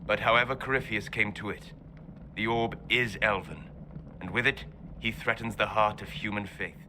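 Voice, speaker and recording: An actor playing a calm, learned male elf mage who speaks in a measured, scholarly way in a video game cutscene. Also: fairly low pitch, noisy background, close to an everyday voice. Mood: grave, measured. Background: game score music.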